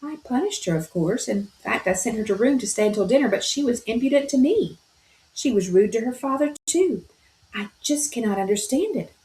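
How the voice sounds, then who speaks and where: A woman's voice: on the high side, expressive, fairly quick, dark tone, voice pushed up, close to an everyday voice. A woman voicing a prim, worried mother, read aloud from a storybook. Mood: fretful. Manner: fretful, prim. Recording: roomy echo.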